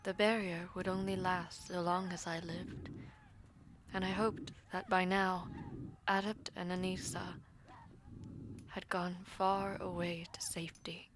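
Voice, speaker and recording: A woman playing a proud, powerful mage villainess in an audio drama, speaking in a formal, imperious and deliberate manner. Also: fairly low pitch, little pitch movement, background noise, natural voice. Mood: resigned, sorrowful, hushed. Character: proud powerful female mage, commanding, vengeful, self-sacrificing villainess. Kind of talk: dramatic audio roleplay acting.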